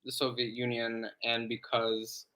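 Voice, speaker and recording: A man in Slavic studies, a guest on an interview, explaining at length in a measured way with many "um"s. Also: mid-range voice, monotone, bright, forceful tone. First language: English. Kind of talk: casual interview chat.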